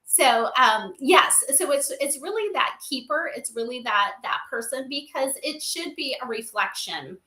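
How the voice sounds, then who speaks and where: A middle-aged woman giving conversational interview answers as a podcast guest, animated and emphatic, often checking in with "right?". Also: high-pitched, a little slow, few pauses, reverberant. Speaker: podcast guest, spiritual advisor for an AI company.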